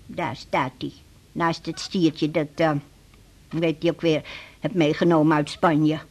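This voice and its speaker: An actress playing a stubborn, forgetful woman in her eighties in a radio drama, with a husky voice and a blunt, rambling manner. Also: low-pitched, little pitch movement, a little slow. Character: stubborn, forgetful 83-year-old mother, cheeky and rambling. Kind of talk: 1980s Dutch radio drama acting.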